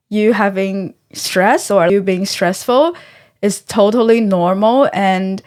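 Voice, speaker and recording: A woman's voice: medium pitch, darkish tone. Earnest young woman from Taiwan. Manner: thoughtful, earnest, pauses to find words. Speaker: guest researcher. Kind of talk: conversational interview.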